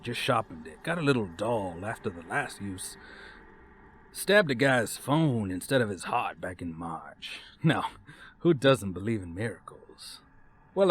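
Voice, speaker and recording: Man as a low, folksy, boastful killer. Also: fairly bright tone, husky, full character voice. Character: folksy, remorseless male serial killer boasting. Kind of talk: horror voice-over monologue.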